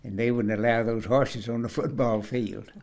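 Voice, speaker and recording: A man, reminiscing in an oral history interview in a halting way, often repeating and restarting words. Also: mid-range voice, steady tone, a little mellow, a little hiss or hum. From Kentucky, USA. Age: 80s. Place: at his home.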